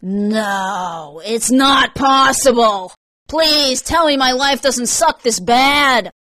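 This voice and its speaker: A woman's voice: medium pitch, moderate pace, a bit bright, no room sound, pitched above the performer's own voice, lightly acted. A woman voicing a hot-tempered, sarcastic, foul-mouthed young man in a story narration. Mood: exasperated, disbelieving.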